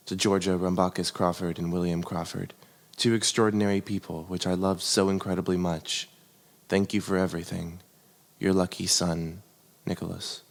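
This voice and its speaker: Young adult male, low register, reading aloud with measured sincerity, voice washed in long reverb. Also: gravelly. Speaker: reader of a family letter. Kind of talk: expressive reading aloud of a letter.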